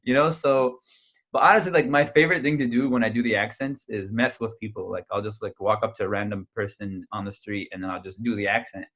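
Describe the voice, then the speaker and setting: Animated young male comedian. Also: on the low side, fast. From United States. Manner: animated storyteller, many fillers and restarts. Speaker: stand-up comedian guest. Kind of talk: casual interview chat. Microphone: video call.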